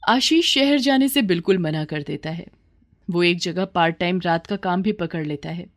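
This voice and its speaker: A woman's voice: fairly low pitch, normal speed. A woman narrating a scripted children's story as the storyteller.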